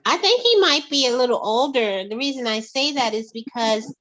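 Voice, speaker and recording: Woman, 50s, playful banterer, slightly high voice, muffled sound. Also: moderate pace. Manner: lively, teasing, laughs easily. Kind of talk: casual group chat. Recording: muffled with faint noise.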